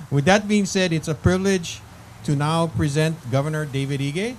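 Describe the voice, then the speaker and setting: A man acting as master of ceremonies, making quick joking asides and laughing before introducing the next speaker. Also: on the high side, a little hiss or hum, low-quality recording.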